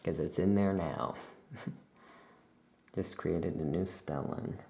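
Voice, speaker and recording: A performer voicing a chatty, musing necromancer witch shopkeeper in a soft-spoken ASMR roleplay, with writing sounds behind. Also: low voice, extremely slow, gravelly, heavily acted. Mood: relaxed, musing.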